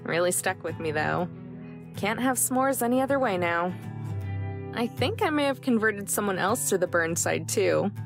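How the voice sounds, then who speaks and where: Visual novel role: heartbroken young woman on a road trip. Gravelly fry, wistful and emotionally raw, over music. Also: mid-range voice, animated, moderate pace. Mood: wistful, fond. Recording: background music under voice.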